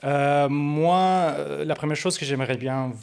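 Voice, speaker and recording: Researcher with a fairly high male voice. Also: measured pace, gravelly, a little mellow, clean tone. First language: not French. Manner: hesitant with many fillers, careful phrasing. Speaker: researcher presenting at a study day. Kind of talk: conference presentation. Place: conference room.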